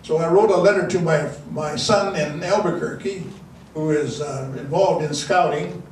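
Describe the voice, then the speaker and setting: Older man, native Dakota speaker, slightly high voice, rambling storyteller, far from the mic in a very echoey room. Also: normal speed, darkish tone, a little hiss or hum. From South Dakota. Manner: rambling, many restarts and fillers. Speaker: elder giving a talk. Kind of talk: storytelling speech to an audience.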